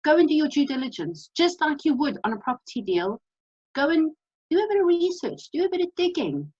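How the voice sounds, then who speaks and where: A woman from South Africa with a South African accent, giving a brisk, practical presentation over a video call. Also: high-pitched, big pitch swings, a little mellow, muffled recording. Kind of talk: presentation talk.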